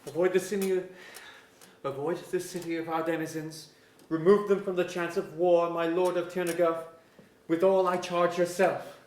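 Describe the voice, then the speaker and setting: A man plays a devoted but troubled king with a high voice for a man. He speaks formal, old-fashioned verse in a stage reading, and the auditorium rings around him. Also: flat delivery, moderate pace, a little mellow, slight background noise, natural voice. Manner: formal archaic verse delivery. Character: anxious, devoted king, brooding and fearful. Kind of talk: staged reading of mock-Shakespearean verse drama. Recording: echoey auditorium.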